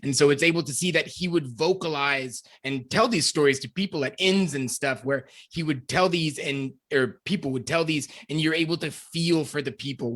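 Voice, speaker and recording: Bright-voiced, rambling enthusiastic man. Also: mid-range voice. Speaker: podcast panelist. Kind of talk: podcast literary discussion.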